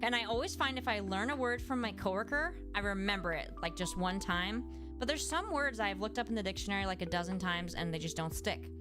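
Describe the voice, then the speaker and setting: A woman's voice: mid-range voice, a little fast. A young adult woman, a speech-language pathologist, chatting casually on a livestream and answering viewers, with lots of "like" fillers and restarts. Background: background music.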